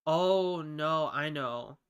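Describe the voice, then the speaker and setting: A man's voice: a little high, drawn-out words, smooth, dull audio. Congested nasal man chatting while gaming. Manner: chatty, rambling, thinks aloud and answers chat. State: just woke up. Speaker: streamer. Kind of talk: casual live game commentary.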